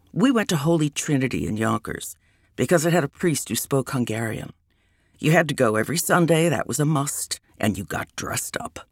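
Woman narrator for memoir reading, gravelly and animated in pitch, close dry mic. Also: no room sound. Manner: unhurried reminiscing narration.

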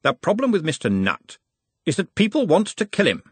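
A man voicing a blustering elderly male wizard who runs a university, with a booming, pompous manner. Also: wildly swooping pitch, normal speed, husky, no room sound, full character voice. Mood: indignant.

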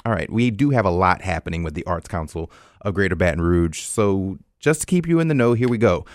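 A man with an American accent and a fairly low voice, hosting a radio arts show. He talks to listeners directly and conversationally, with a close, dry studio sound. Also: lively intonation, fairly quick, dry recording. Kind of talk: scripted announcements and interview hosting.

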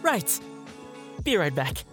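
A man's voice: sits high, very animated, slow, fairly bright tone, soft background music, full character voice. A man playing a naive, nervous and eager youngest farm brother in an audio drama.

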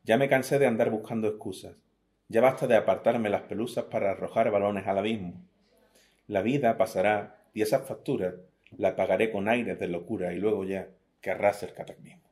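A man's voice: lowish voice, fairly even intonation, fairly quick, a little mellow. A middle-aged man with an Andalusian Spanish accent, a poet giving an interview, joking about himself and reading his sonnets aloud. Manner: self-deprecating, joking, restarts words often.

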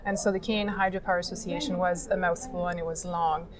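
A woman from France, answering interview questions in a measured way with frequent ums at a conference. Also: on the low side, fairly even intonation, rough recording. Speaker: industry association leader. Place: conference venue. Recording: busy crowd chatter behind.

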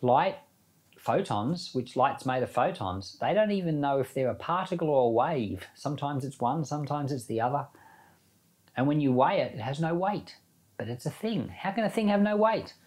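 A man's voice: a little high, animated, normal speed, a little mellow. A man giving an informal sermon as a Bible teacher, reading scripture and commenting in a conversational way with rhetorical questions.

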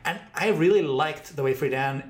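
A man's voice: a little high, moderate pace. A man hosting a podcast, talking in an emphatic, discursive academic way and often tagging his points with "right?". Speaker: podcast host.

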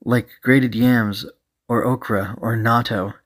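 A cheeky young male hacker from an audiobook, voiced by a man. He talks informally and playfully, in an excitable way. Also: medium pitch, slow, natural voice. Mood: queasy.

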